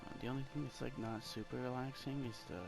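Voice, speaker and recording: A man giving casual, low-key ASMR game walkthrough commentary in a rambling, hesitant way. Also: fairly even intonation, quick pace, creaky, low-quality recording. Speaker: gaming ASMR creator. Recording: loud game music behind voice. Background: video game music and sounds.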